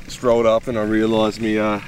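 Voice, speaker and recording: A young Australian man in his twenties vlogging a fishing trip in a relaxed, casual way, with plenty of ums and easy swearing, first from a car and then outdoors on a riverbank. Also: lowish voice, a little fast. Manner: laid-back, swears casually, lots of ums. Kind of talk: casual vlog chat. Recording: outdoor and road noise behind.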